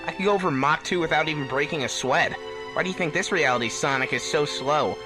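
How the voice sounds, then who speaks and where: A man's voice: on the high side, fast, music in the background, close to an everyday voice, low-quality recording. A man playing an anxious young male hedgehog hero in a fan fiction audio drama reading. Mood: puzzled.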